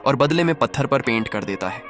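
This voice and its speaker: Male comic narrator over loud music. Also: medium pitch, animated, fairly quick. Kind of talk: comic cartoon recap narration.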